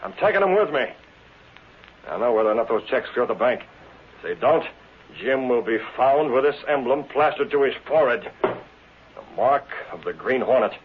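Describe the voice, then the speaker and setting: A man's voice: mid-range voice, big pitch swings, normal speed, pauses often, husky. A man playing a menacing, commanding masked vigilante with theatrical, threatening diction in 1930s radio drama style. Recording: muffled, hissy old radio recording.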